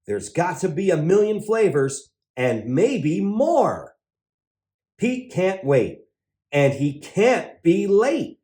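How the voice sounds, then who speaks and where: A man's voice: high-pitched, big pitch swings, takes it slowly, warm tone. A man hosting a children's read-aloud, exuberant and exclamatory, drawing words out for emphasis.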